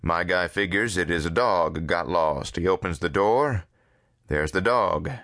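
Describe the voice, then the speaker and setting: A wry, dogged lawman played by a male narrator in an audiobook. He talks casually and persistently in a dry manner, with a deep, slow voice. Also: a bit bright, no echo. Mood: dry storytelling. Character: dogged, wry lawman coaxing an old friend.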